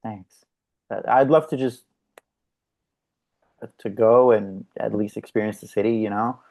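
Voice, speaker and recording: A woman's voice: rumbling low, fairly even intonation, a little slow, mellow, full character voice. An actress plays a woman on a date who is curious and direct. She speaks casually and probes with her questions, in the conversational style of a drama table read. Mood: reluctant, wistful. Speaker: actor at a live script read.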